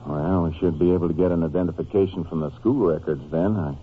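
An actor playing a grave, methodical Texas Ranger, terse and authoritative, in a 1950s radio drama. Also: male voice, low voice, fast, breathy edge. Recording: tinny, noisy vintage radio recording.

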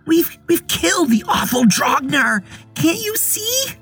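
A man voicing anxious, excitable little sisters with a pleading, childlike delivery in a storybook tale. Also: very high voice, slow, soft background music, voice pushed up, heavily acted. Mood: excited, defensive. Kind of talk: storybook character voice.

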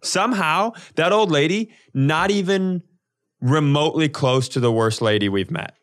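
A man co-hosting a podcast, telling comic stories in a casual, animated, sweary way and acting out quotes. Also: a little high, moderate pace. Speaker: podcast co-host. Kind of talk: casual comedic storytelling chat.

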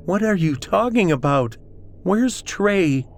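A man's voice: high-pitched, animated, slow, faint music behind, voice pushed up, exaggerated voice. A man voicing a frantic, angry young woman who pleads shrilly and urgently in a horror story. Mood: demanding. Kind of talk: horror audiobook character acting.

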